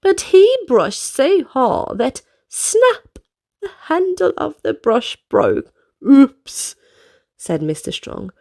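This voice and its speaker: A woman's voice: high-pitched, huge pitch swings, takes it slowly, darkish tone. A woman reading storybooks aloud to children expressively, addressing young listeners with questions and exclamations.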